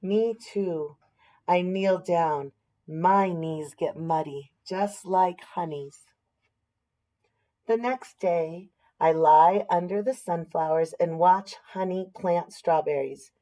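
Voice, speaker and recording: A woman's voice: lowish voice, slow, dark tone. An American woman reading a picture book aloud expressively, with explanatory asides and questions for young listeners.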